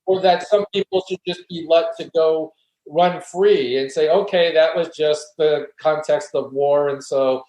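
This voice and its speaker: A man's voice: on the high side, measured pace, darkish tone. A man, a philosophy guest, earnestly explaining his argument step by step in an interview. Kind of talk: conversational interview, reflective argument. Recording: roomy echo.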